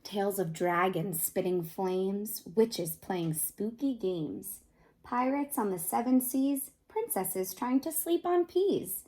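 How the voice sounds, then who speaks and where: A woman reading a children's picture book aloud expressively, pausing to ask her young listeners questions. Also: medium pitch, expressive, mellow, low-quality recording. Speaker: read-aloud host, storyteller.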